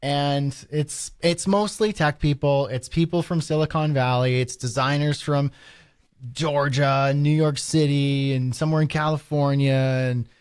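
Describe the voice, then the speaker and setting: Casting: male Canadian podcast co-host, informal and slangy, tells anecdotes in an animated, conversational way. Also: a little high, a little slow, smooth, no echo, clean studio sound. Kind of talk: casual anecdotal podcast chat.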